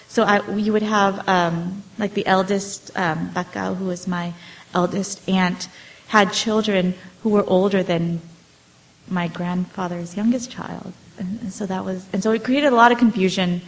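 A woman's voice: mid-range voice, rough recording. A woman in her forties, an author, talking about her family's history in a loose, hesitant way, full of fillers and restarts. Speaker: author answering audience questions. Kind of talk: casual storytelling talk.

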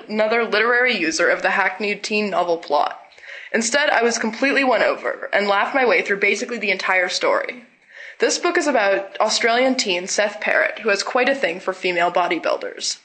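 A woman's voice: mid-range voice, bright, forceful tone, rough recording. A teenage girl reading a scripted book review for a podcast. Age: teens.

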